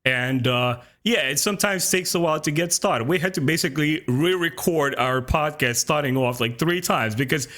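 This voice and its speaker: A man's voice: fairly high pitch, moderate pace, few pauses, a bit bright. A man in his thirties hosting a casual fan podcast, talking with enthusiasm. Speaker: podcast host.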